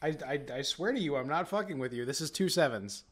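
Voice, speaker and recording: A man's voice: a little high, lively intonation, a little fast. A man chatting casually and jokingly as a player in a tabletop game.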